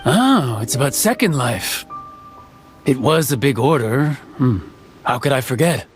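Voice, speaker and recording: An actor voicing a jovial old carpenter in a video game, with an easygoing, chuckling manner. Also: male voice, mid-range voice, animated, a little slow, soft background music, close to an everyday voice. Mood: genial. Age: older.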